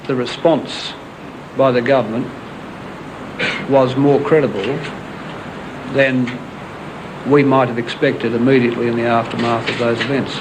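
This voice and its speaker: Flat-toned man over clear hiss. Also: medium pitch, a little slow, pauses often. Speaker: interviewee. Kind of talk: news interview clip.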